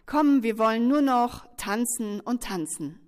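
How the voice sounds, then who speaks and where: A woman from a music group talks casually and warmly invites newcomers. Her voice sits a little above average. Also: fairly high pitch, animated, a little slow, clean tone.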